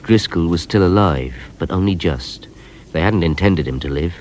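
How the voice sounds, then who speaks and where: An actor playing a hard-nosed, cunning police inspector in a radio drama, terse and sardonic, with an English accent. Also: male voice, low-pitched, normal speed, a little mellow, slight background noise, lightly acted. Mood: sober, measured. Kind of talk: radio drama acting and first-person narration.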